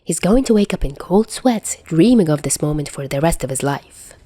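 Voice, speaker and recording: A woman voicing an exasperated but caring young man in a story, speaking deliberately and drily. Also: sits low, expressive, dark tone, no room sound. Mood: dry scolding. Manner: clear, deliberate, dry scolding.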